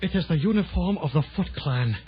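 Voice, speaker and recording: A man playing a wise old mutant rat master, grave, measured and fatherly, in a children's audio drama on dull tape. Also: sits high, lively intonation, voice pushed up. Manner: solemn, measured, soft-spoken. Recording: dull lo-fi tape, loud music behind.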